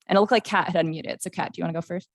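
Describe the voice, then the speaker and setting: A woman moderating an online panel over a video call, asking clear questions in a friendly way. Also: lowish voice, steady tone, very fast, slight background noise.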